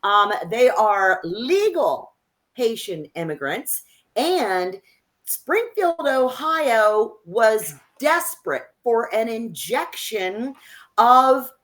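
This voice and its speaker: Woman w slightly high voice, political talk show cohost, opinionated, kinda halting but emphatic. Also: sing-song, fairly bright tone. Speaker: co-host of a political discussion show. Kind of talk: unscripted political discussion.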